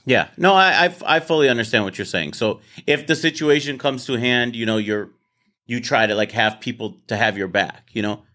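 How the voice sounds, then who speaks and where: A man's voice: mid-range voice, moderate pace, sharp tone. A man hosting a casual debate show in his office, talking bluntly, swearing and repeating words as he starts sentences. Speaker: podcast host.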